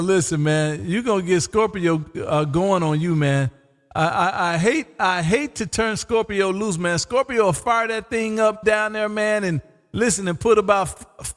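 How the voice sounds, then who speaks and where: Male radio hobbyist and streamer, high voice, playful and repetitive, long reverb. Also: a bit bright. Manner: jovial, teasing, repeats himself, often says "man". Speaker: amateur radio operator and livestream host. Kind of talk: casual radio chat, live streamed. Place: home radio room.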